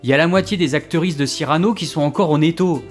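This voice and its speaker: A man's voice: a little high, fast, soft background music, close to an everyday voice. A man playing a sceptical, dry, hesitant character in an audio comedy drama. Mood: resigned, casual. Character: sceptical, hesitant, wry man humouring his friends.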